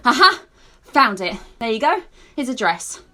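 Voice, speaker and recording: A woman's voice: on the high side, big pitch swings, measured pace, pushed and bright, exaggerated voice. A woman playing an earnest, spacey hippie conspiracy theorist in an amateur radio play, dreamy and rambling. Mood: eager.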